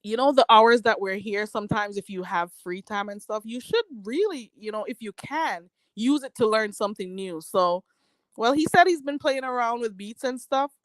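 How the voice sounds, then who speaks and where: A woman's voice: on the high side, lively intonation, normal speed, dry recording. A woman hosting a casual livestream, reading out chat comments and chatting in a rambling way with lots of fillers and frequent laughter.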